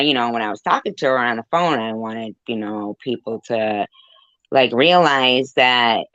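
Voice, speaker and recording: A woman hosting a casual livestream conversation, talking in a halting, roundabout way. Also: rumbling low, fairly bright tone, no echo, lo-fi sound. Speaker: livestream host.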